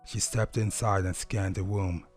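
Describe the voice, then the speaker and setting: A man reading a sad story as scripted narration in a solemn, measured, deliberate way. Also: low-pitched, steady tone, soft background music. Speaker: audio story reader.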